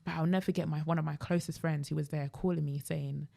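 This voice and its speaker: A young woman with a London accent hosts a casual podcast chat. Her voice sits low for a woman and carries noticeable creak. She talks informally and with emphasis. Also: fairly even intonation, quick pace, warm tone. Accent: British, London. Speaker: podcast host.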